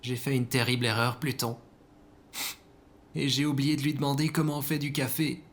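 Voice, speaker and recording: A man's voice: medium pitch, little pitch movement, moderate pace, very noisy, natural voice. An actor plays an immature man in an audio comedy-drama. He comes across as naive and defensive, hesitating often as he talks. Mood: defensive, sheepish. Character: immature, hapless man, defensive and self-absorbed.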